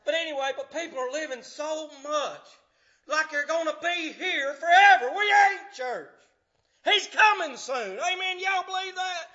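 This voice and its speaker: A man, preaching a fervent sermon in church, swinging from shouts to hushed tones. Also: very high voice, unhurried, lo-fi sound. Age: 50s.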